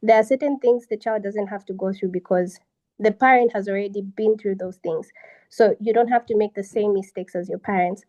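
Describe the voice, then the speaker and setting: A young woman moderating a conversational panel, speaking in a measured way with hesitant pauses. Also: mid-range voice, mellow, muffled recording. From Zambia.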